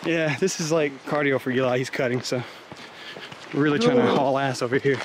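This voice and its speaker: A man's voice: mid-range voice, steady tone, fairly quick, noisy background. A young man chatting and joking while hiking on a wooded trail, out of breath, filming himself with a handheld camera. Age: young adult. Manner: chatty, joking, rambling with fillers. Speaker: vlogger. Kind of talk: casual vlog while hiking. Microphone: handheld camera at arm's length.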